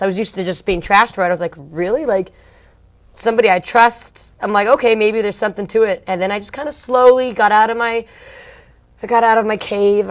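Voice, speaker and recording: A young woman from Long Beach, California, a punk band singer telling her story in an interview, chatty and blunt, full of "like" and "um". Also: mid-range voice. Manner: blunt, slangy, swears casually.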